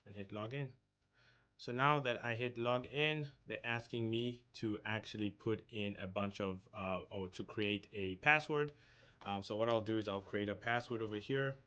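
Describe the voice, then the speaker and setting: A man's voice: on the low side, normal speed, bright, forceful tone, highs cut off. A young man from South Africa talking casually through an online application, thinking aloud with frequent ums. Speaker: YouTuber walking through an application. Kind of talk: casual screen-share walkthrough.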